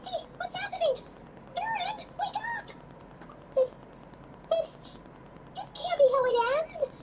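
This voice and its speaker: A woman voicing a tiny, plucky, childish fairy companion in a game, squeaky and emphatic. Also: animated, slow, highs cut off, background noise, exaggerated voice. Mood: alarmed. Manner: emphatic, exclamatory.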